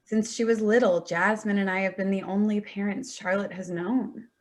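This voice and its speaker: A woman's voice: medium pitch, steady tone, moderate pace, close to an everyday voice. A woman playing an exasperated, defensive adult daughter in a staged play reading, speaking tersely and bristling. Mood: guarded, terse.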